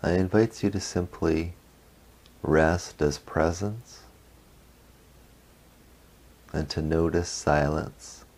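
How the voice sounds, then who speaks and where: A man leading a guided meditation as a guide, speaking gently in short phrases with long pauses. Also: deep voice.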